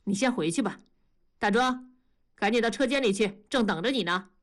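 A woman voicing an evasive senior factory master and union chairman in an audiobook, hurried and brushing people off. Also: on the high side, lively intonation, lightly acted. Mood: placating.

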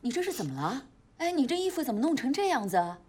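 A woman's voice: high voice, sing-song, fast talker, darkish tone, slight background noise. A middle-aged woman acting a protective aunt, doting yet commanding, emphatic and repetitive in a TV drama. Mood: soothing. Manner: emphatic, repeats herself.

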